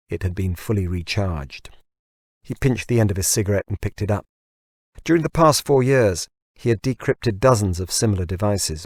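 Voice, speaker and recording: A man's voice: on the low side, a little mellow, dry recording, clean studio sound. A man reading a novel aloud as scripted audiobook narration.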